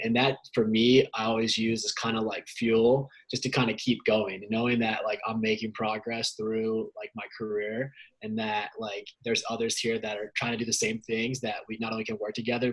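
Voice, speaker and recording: A man from Ohio co-hosting a podcast, talking in a relaxed, rambling way. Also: lowish voice, husky, rough recording. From Canton, Ohio. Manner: relaxed, rambling conversational delivery. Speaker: podcast co-host. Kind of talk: casual interview chat.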